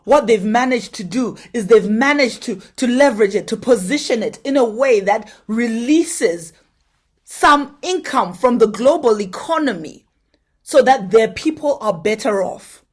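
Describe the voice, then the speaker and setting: Woman, slightly high voice; earnest motivational speaker urging a conference crowd to act. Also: breathy edge. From Zimbabwe. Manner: earnest, exhorting, asks the audience direct questions.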